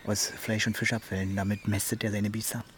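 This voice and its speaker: A man's voice: on the low side, little pitch movement, fairly quick, a little hiss or hum, lightly acted. An actor playing a brash, self-assured village man in a naturalistic radio drama, talking in breezy, colloquial slang. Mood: confiding, eager.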